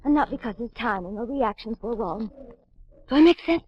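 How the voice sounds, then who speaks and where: An actress playing a proud, intense young female circus trapeze artist in forceful, theatrical 1940s radio style. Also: on the high side, animated, brisk, slight background noise, natural voice. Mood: defiant. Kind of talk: 1940s radio drama acting. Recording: dull lo-fi 1940s radio broadcast.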